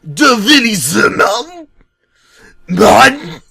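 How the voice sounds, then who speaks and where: A man voicing a cheerful cartoon builder turned raving fanatic, with a put-on British accent, loud and drawn-out. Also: high voice, very animated, creaky, breathy edge, voice pushed up, full character voice. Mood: ranting.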